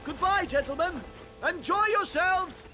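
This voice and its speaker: An actor playing a flighty, pompous and cowardly academic in a video game, effusive and stammering in manner. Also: male voice, very high-pitched, measured pace, bright, forceful tone, soft background music, exaggerated voice. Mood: giddy, effusive. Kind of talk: theatrical video game character acting.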